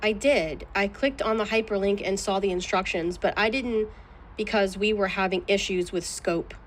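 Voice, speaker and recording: A woman's voice: medium pitch, normal speed, slight background noise. A woman answering questions in a legal deposition in a guarded, clipped manner. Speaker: plaintiff being deposed.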